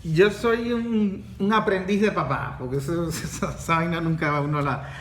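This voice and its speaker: A man's voice: fairly high pitch, moderate pace, echoey room. A middle-aged man with a Venezuelan accent, talking animatedly in a casual podcast and often restarting his sentences. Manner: animated storyteller with frequent restarts. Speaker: podcast host and course facilitator.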